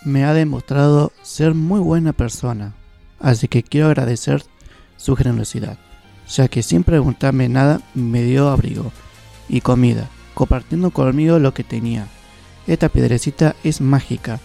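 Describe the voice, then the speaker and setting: A man's voice: mid-range voice, moderate pace, creaky, mellow, faint music behind, close to an everyday voice. A man playing a humble, polite wandering traveler in an amateur radio play. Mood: grateful.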